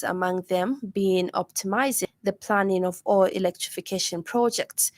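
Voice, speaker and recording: Female newsreader, Zambian accent, formal scripted delivery, mid-range pitch, close dry sound. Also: no room sound. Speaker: radio news presenter. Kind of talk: scripted news bulletin reading. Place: radio studio.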